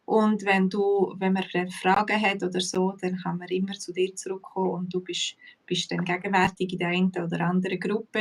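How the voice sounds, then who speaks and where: A woman with a Swiss German accent hosts a casual live chat. She talks in a relaxed, unhurried way, often pausing on fillers. Her voice sounds a little distant from the mic, as on a video call. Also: fairly low pitch. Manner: relaxed, hesitant with many fillers, laughs easily. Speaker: host and Swiss German teacher.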